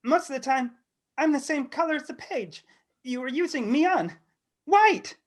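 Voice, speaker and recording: Somebody doing a sad lil neglected crayon, super high n whiny, storybook comedy, very melodramatic. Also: lively intonation, moderate pace. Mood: wounded, plaintive.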